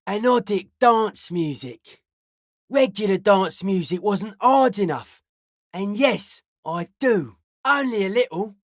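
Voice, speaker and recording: A man's voice: sits high, no echo, studio quality. An actor voicing an intense young male dance-music zealot in a video game, with a clipped, blunt, philosophizing delivery full of slang. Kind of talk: video game character voice acting.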